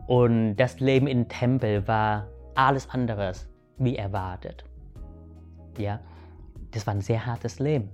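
Halting man. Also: medium pitch, steady tone, faint music behind. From Vietnam. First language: Vietnamese. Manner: halting, many restarts and fillers, asks "weißt du". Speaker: guest. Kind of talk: reflective personal storytelling.

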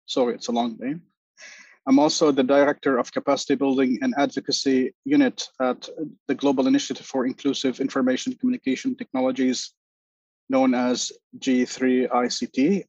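A man in his forties from Lebanon, giving a measured, formal talk as a panel speaker. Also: medium pitch, a little mellow, no room sound, dull audio. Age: 40s. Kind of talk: prepared talk on a video call.

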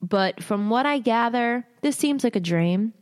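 A woman hosting a show, reading a listener's submitted story aloud in short halting phrases, then chatting candidly with casual slang. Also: on the high side, animated, smooth. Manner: candid, uses swearing and slang. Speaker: host reading listener stories.

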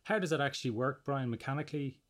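A man's voice: medium pitch, quick pace, a bit bright. A man hosting an interview podcast, putting questions in a conversational style.

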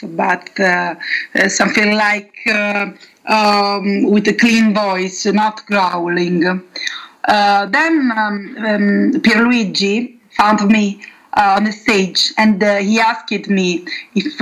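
A young woman speaking English with an Italian accent answers a metal interview haltingly, full of pauses and restarts. Her pace is very slow and her tone is bright. She sounds like a singer talking about her band. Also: mid-range voice, low-quality recording. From Italy. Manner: halting, many fillers and restarts.

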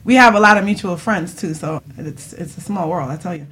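A woman's voice: fairly low pitch, brisk, a loud crowd, rough recording. A woman hosting a radio show in casual chat, playful and informal.

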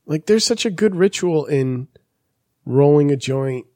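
A man's voice: on the high side, expressive, unhurried, darkish tone, dry recording. A man in his forties, a podcast co-host, chatting casually on a studio mic, crude and joking, swearing a lot. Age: 40s. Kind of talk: casual profane chat.

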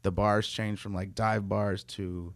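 A man's voice: lowish voice, level tone, unhurried, no room sound, clean studio sound. A man talking loosely and jokily as a guest on a chat show, with plenty of fillers. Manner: loose and joking, lots of fillers, laughs between lines. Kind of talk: casual chat.

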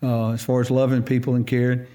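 A man in a mid-range voice hosts a church podcast in an easygoing, folksy way. He chats warmly with his guest and fills the gaps with quick yeahs and rights. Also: mid-range voice. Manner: relaxed, folksy, frequent affirmations. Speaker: podcast host. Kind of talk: casual church podcast interview.

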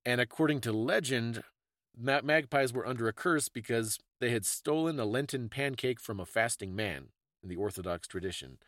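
A man's voice: medium pitch, normal speed, a bit bright, no room sound, studio quality. A man hosting a conversational talk, explaining things in an informative, scholarly way. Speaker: podcast host explaining folklore.

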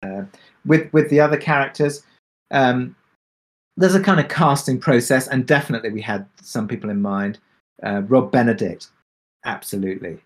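A man answering questions on a discussion panel as a drama creator, speaking reflectively and haltingly with many pauses. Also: medium pitch. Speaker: audio drama creator and producer.

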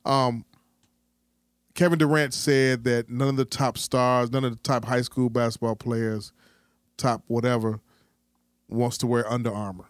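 A man's voice: medium pitch, level tone, measured pace, pauses often, no echo, clean studio sound. A man with an American accent co-hosting a casual sports podcast, talking in a challenging, questioning, slangy way. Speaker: podcast host. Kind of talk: casual sports debate chat.

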